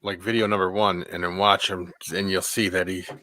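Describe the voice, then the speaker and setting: Deep-voiced man, bright and dry. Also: little pitch movement, fairly quick, rough recording. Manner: hesitant, full of ums, chuckles. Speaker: guest. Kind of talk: casual chat.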